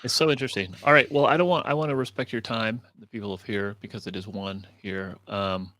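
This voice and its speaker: A man's voice: on the low side, fairly even intonation, a little fast, bright, forceful tone, no room sound. A man hosting a casual conversation, chatting and putting questions to a guest. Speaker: host, moderator. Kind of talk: casual chat, interviewing.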